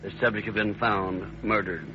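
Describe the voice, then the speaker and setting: American dispatcher, low voice, terse, formal, police radio, very noisy dull sound. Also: male voice, little pitch movement, moderate pace. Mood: official. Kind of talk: 1930s police radio bulletin.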